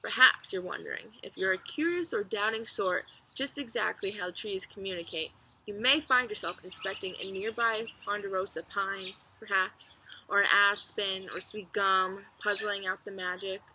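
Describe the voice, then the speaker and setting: A woman reading a children's book aloud as narrator, expressively, with clear storytelling phrasing and emphasis. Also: mid-range voice, normal speed, pushed and bright, slight background noise, poor audio.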